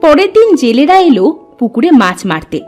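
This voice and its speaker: A woman narrates a cartoon fairy tale with a bright, animated lilt, her voice somewhat high. She tells the story plainly and clearly, with soft music under her. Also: normal speed. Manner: lively storytelling.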